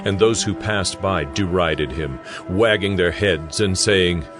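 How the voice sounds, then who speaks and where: A man narrating a dramatized audio Bible in a solemn, measured storytelling manner. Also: low voice. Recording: music under the narration.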